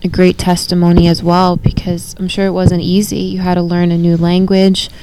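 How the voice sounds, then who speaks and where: A young woman hosting a faith talk, speaking softly and hesitantly with fillers. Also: fairly low pitch, monotone, normal speed, background noise. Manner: soft-spoken, hesitant with fillers. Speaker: host, daughter of guest.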